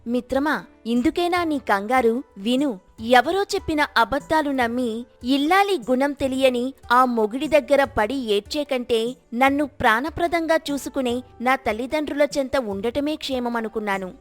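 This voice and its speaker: A woman's voice: high voice, moderate pace, soft background music, lightly acted. A woman playing a young, proud and self-assured village wife in a storybook tale. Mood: calm defiance. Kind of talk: storybook character dialogue.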